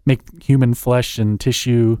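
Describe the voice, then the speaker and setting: Critic guest: a man of middle pitch, wry and deadpan, quick asides, bone-dry studio mic. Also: no room sound. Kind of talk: casual chat, plot summary.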